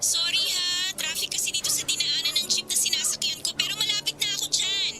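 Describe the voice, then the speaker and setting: Radio drama role: young student friend, very high-pitched, fast and apologetic, music underneath. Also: female voice, steady tone. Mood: apologetic, hurried. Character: apologetic young female classmate running late. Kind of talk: Tagalog radio drama acting.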